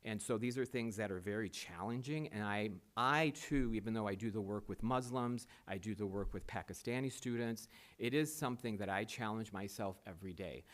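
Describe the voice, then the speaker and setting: A man's voice: on the low side, fairly quick, few pauses. A man interviewing for a superintendent job, answering in an earnest, rambling way with many fillers and restarts. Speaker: superintendent candidate. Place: school board meeting room.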